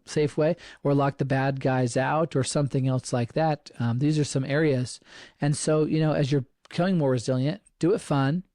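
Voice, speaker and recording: A man's voice: mid-range voice, darkish tone, dry recording, clean studio sound. A middle-aged man hosting a podcast, giving earnest advice in a casual way and often restarting his sentences. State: slightly froggy voice. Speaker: podcast host.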